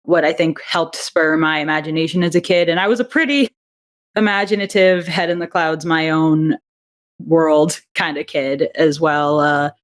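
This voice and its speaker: A woman's voice: low voice, fairly even intonation, normal speed. A woman being interviewed on a podcast, talking reflectively with frequent laughs and fillers. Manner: warm, reflective, laughs often, many fillers. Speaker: audio drama writer and director, podcast guest. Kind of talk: casual interview answers.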